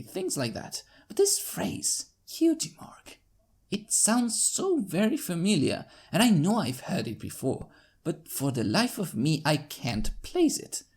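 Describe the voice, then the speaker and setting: Guy reading a fan story in first person, super animated, bit of fry in the voice. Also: on the high side. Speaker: fan fiction narrator. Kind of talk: first-person scripted story narration in character.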